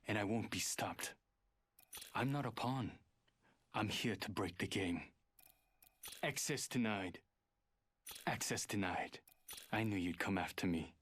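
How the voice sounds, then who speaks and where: A man's voice: fairly low pitch, a bit bright. An actor voicing a guarded, arrogant male hacker in a video game, speaking in a terse, serious, superior way. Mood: defiant, guarded. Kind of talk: video game character voice lines.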